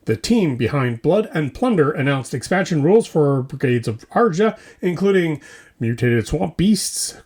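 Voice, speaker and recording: A man's voice: on the high side, darkish tone. A man hosting a scripted mock news show, delivering it like a theatrical, mock-serious newscaster. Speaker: host of a hobby news show.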